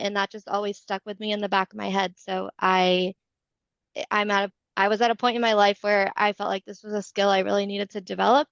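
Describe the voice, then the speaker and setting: A woman's voice: mid-range voice, a bit bright, dry recording. A woman guest on a video call, chatty and friendly with a few fillers. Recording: dull, dry video call audio.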